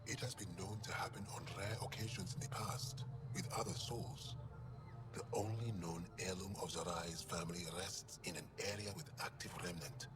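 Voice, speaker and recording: An actor playing a solemn, resigned alien mystic in a video game, grave, measured and cryptic. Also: male voice, deep voice, steady tone, lightly acted, low-quality recording. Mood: solemn, guarded hope. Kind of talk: video game cutscene acting.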